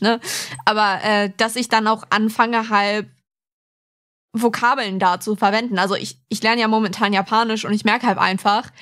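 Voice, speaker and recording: A woman's voice: mid-range voice, a bit bright. A young woman co-hosting a podcast, speaking in an informal, frank, chatty way. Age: young adult. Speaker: podcast host. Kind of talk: casual podcast chat.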